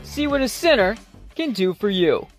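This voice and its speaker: A woman's voice: medium pitch, very animated, moderate pace, loud music behind the voice. A woman reading a scripted radio advertisement.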